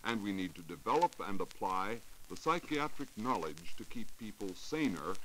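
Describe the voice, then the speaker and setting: Low-voiced American man, animated vintage radio report. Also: normal speed, poor audio. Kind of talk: scripted 1940s radio science report. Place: radio studio. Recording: hissy 1940s radio recording.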